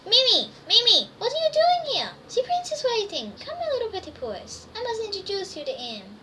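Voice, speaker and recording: A woman voicing a fussy, excitable, bossy fairy-tale madame who calls out and gushes, in a children's storybook reading. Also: very high-pitched, normal speed, voice pushed up, heavily acted. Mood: frantic, gushing. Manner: calling out, gushing, bossy. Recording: distant mic, faint background noise.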